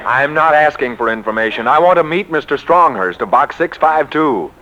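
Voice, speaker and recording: An actor playing an excitable, bombastic American publishing executive in a 1940s radio comedy, with theatrical, grandiose emphasis. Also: male voice, a little high, normal speed, crowd noise, noisy background, close to an everyday voice. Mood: frustrated. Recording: muffled 1940s radio recording.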